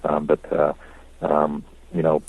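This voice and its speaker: A man answering questions as a company executive on an investor conference call, speaking in a careful, hedging, measured way. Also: a bass voice, completely flat delivery, creaky, phone-quality sound. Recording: dry conference call audio.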